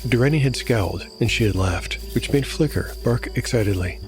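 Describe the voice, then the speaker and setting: A man's voice: medium pitch, brisk, faint music behind. A man working as solo game master, narrating a scripted fantasy audio drama and commenting on the game.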